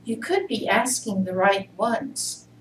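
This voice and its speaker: A woman reciting poetry at a church service in a measured, deliberate way. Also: mid-range voice, lively intonation. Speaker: congregation member reading inmates' poetry. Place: church service, indoor room. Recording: roomy, noisy pickup far from mic.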